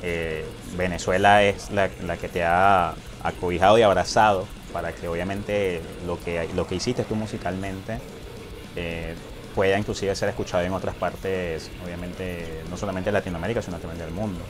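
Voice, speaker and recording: A man's voice: low voice, fairly even intonation, normal speed, over music. A man hosting a casual interview, talking at length and leaning on filler words. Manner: rambling, leans on filler words. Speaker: interviewer, host.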